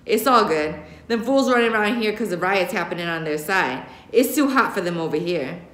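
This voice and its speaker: A woman's voice: lowish voice, animated, fairly quick, fairly bright tone. Woman reading a cocky, slangy young gangster, echoey. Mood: casual. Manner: slangy, offhand. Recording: echoey classroom.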